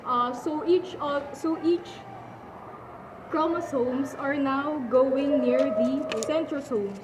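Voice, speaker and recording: A woman giving a halting scripted explanation as a presenter in a puppet show. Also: high voice. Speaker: student presenter playing a doctor. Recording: clearly noisy amateur recording.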